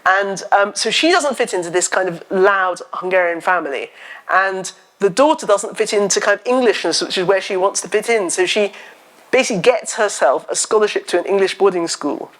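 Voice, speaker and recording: A woman novelist with a loud voice, talking at a live festival event on stage into a microphone, chatty and funny as she tells stories. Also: mid-range voice, a little fast. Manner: chatty, animated storytelling with self-deprecating humour. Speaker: novelist guest. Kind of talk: live on-stage literary chat. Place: festival stage before an audience.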